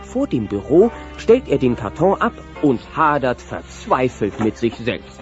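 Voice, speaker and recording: A man's voice: medium pitch, big pitch swings, unhurried. A man narrating a radio play in a scripted storytelling style. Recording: muffled old cassette transfer. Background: music.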